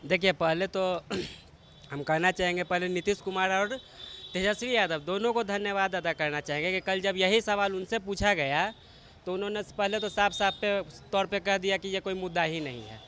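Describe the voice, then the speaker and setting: A man's voice: high voice, moderate pace, bright, forceful tone. A man giving his opinion in a street interview, arguing his case point by point. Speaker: interviewed member of the public. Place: outdoors on a city street. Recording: street noise behind the voice.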